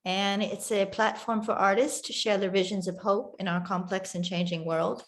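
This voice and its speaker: A woman's voice: medium pitch, steady tone, moderate pace. A woman giving an earnest, slightly nervous award acceptance speech over a video call, her voice a little strained as she is losing it. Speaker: award recipient, legal advocate.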